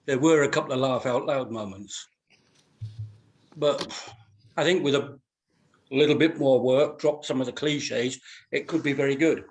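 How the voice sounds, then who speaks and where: Male panelist, medium pitch, informal critique. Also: measured pace, long pauses. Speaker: actor on a reading panel. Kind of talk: casual critique.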